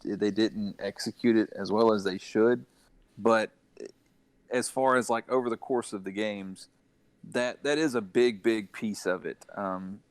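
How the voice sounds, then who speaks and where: A man with a fairly low voice talks casually as a podcast panelist. He explains things conversationally, and the recording is dry, with very little room sound. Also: no room sound. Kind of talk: casual discussion of video games and adaptations.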